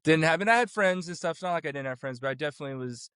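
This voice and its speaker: A man's voice: on the high side, animated, rapid-fire, fairly bright tone, smooth, no room sound, studio quality. A man in his forties from Florida, a vocal coach and producer, talking casually as an interview guest and rambling through his story with many restarts. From Florida, USA.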